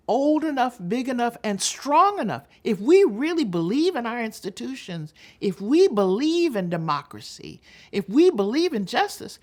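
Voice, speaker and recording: An older American woman giving an impassioned public talk, speaking in a deliberate, emphatic, oratorical way with weighted pauses. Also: fairly high pitch. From United States. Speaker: public speaker, veteran delegate.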